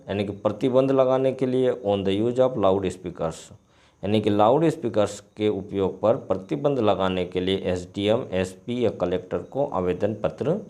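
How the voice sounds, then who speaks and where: Slow, mellow-voiced Indian man teaching. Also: lowish voice. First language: Hindi. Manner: deliberate, repeats phrases for explanation. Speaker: English teacher. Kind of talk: line-by-line bilingual lesson, reading and translating.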